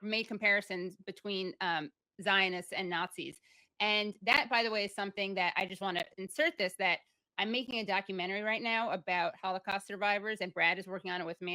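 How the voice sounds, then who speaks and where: A young woman host with a bright, crisp voice asks a long question in a casual way. She pauses and restarts often, with "um"s and "you know"s, and the sound is dry and close. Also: medium pitch, no room sound, rough recording. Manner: hesitant, many fillers and restarts. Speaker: podcast host.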